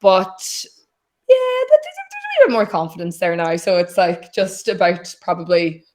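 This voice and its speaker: A young Irish woman from Donegal with a naturally deep voice, chatting as a singer-songwriter guest on a video call. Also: sing-song, normal speed, a little mellow. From Donegal, Ireland. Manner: chatty, rambling, self-deprecating. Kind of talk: casual chat.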